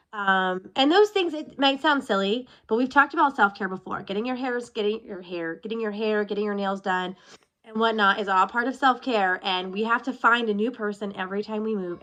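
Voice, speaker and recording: A sniffly woman in her thirties talks straight to her own camera in a casual check-in. Her pitch sits in an ordinary middle range. She is chatty and candid, and she drifts into tangents. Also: a bit bright. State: sniffly from allergies. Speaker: military spouse vlogger. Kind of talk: casual talking-head check-in video. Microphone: self-recorded video.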